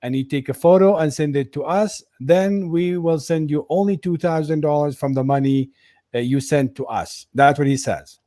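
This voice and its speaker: A man's voice: a little high, moderate pace, warm tone, no room sound, clean studio sound. A man hosting a casual live interview, restating and recapping what he is told. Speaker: interview host.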